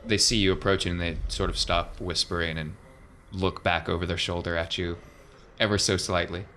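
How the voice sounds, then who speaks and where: Low-voiced man running a tabletop game. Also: fairly even intonation, normal speed, pushed and bright. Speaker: game master. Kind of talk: casual tabletop game narration.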